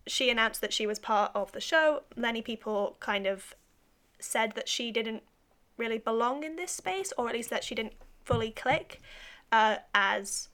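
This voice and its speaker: A young woman with a British accent chats in a relaxed way, pausing now and then to find the right word. Her voice sits a little higher than average. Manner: thoughtful, searching for words. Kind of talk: casual chat.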